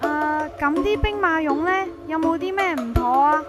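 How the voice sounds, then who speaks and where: A woman with a Hong Kong Cantonese accent telling a scripted first-person story in a colloquial, expressive way, acting out the dialogue. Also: high-pitched, moderate pace, music in the background. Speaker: narrator and main character of a horror story.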